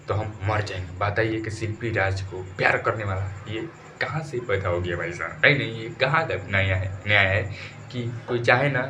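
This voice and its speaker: Male online gossip news presenter, Bhojpuri accent, lowish voice, emphatic and colloquial, noticeable noise behind him. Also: sharp tone, dull audio. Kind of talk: informal YouTube news commentary.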